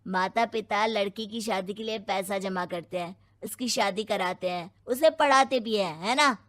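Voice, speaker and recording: A woman's voice: mid-range voice, moderate pace, a bit bright, no echo, clean studio sound, natural voice. A woman playing an opinionated young character in a cartoon story, arguing and explaining a point. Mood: argumentative. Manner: argumentative, explanatory. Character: opinionated young person arguing for daughters' fair treatment.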